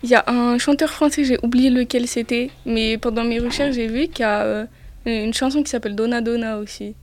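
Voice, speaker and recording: A woman's voice: a little high, steady tone, brisk, a little mellow, slight background noise. A woman asking casual interview questions as a radio co-host.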